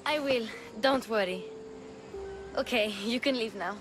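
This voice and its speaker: A woman's voice: a little high, measured pace, music in the background, lightly acted. A woman playing a plucky, affectionate little girl in a video game, with an eager, earnest way of talking. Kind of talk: cinematic video game acting.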